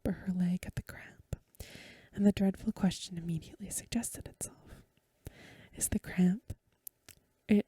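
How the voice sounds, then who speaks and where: A woman reads a story in a gentle ASMR whisper. Her voice is very soft, dark and extremely breathy. She takes her time, sounding hushed and close to the mic in a dry space. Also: mid-range voice, no room sound. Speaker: ASMR reader and host.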